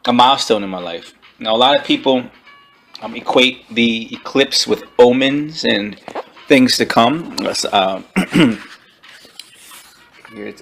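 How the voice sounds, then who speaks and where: A man giving a casual, slangy book review as an online video host, often halting as he searches for words. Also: lowish voice.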